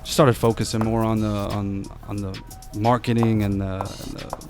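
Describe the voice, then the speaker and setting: A man's voice: on the low side, music in the background. A young man from Brooklyn with a Brooklyn Italian-American accent, a rapper chatting casually as a guest and often restarting his words. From Brooklyn, New York. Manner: fast, relaxed, repeats words and restarts. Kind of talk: casual interview chat.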